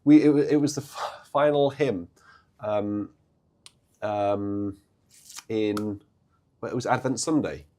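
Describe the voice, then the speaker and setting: A hoarse man, chatting haltingly on a live stream about church organs. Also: lowish voice, dark tone. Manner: halting, chatty, many restarts and fillers. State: hoarse, coming down with a cold. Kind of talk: casual live-stream chat.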